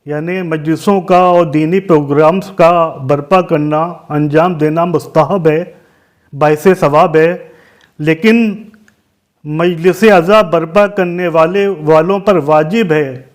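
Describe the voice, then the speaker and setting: Male cleric, high-pitched, Lucknow Urdu accent; deliberate, emphatic religious guidance with repeated phrases and synonyms. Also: steady tone. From Lucknow, Uttar Pradesh, India.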